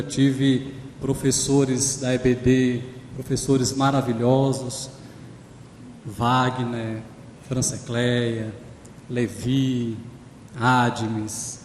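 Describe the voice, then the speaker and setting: A man in his thirties from Pernambuco, with a Pernambuco accent tinged by Maranhão, preaching as a guest with warm personal stories. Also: medium pitch, a little hiss or hum. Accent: Pernambuco Portuguese mixed with Maranhão. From Pernambuco, Brazil. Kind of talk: sermon. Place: large church sanctuary. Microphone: handheld microphone. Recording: church hall reverb.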